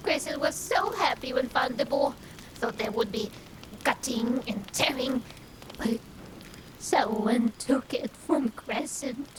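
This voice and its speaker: A woman voicing a childlike, hungry, bloodthirsty little trickster creature in a fantasy drama, speaking in halting short phrases. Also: sits high, very noisy. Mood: sulky, disappointed. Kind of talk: cinematic fantasy voice acting.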